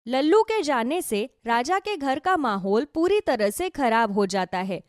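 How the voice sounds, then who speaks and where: A woman's voice: high-pitched, sing-song, measured pace, dry recording, clean studio sound. A woman telling a scripted children's moral story as narrator.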